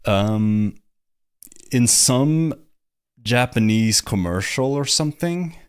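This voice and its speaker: A man's voice: mid-range voice, expressive, slow, clear, resonant tone, no echo, clean studio sound. A man chatting casually with a friend, hesitant and full of restarts. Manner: hesitant, lots of fillers and restarts. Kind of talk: casual chat.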